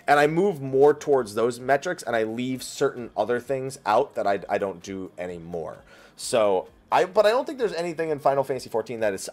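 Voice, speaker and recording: A man hosting his weekly Q&A stream, chatting casually and rambling with lots of fillers and restarts, his voice slightly raspy from talking a long time. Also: medium pitch. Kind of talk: casual chat.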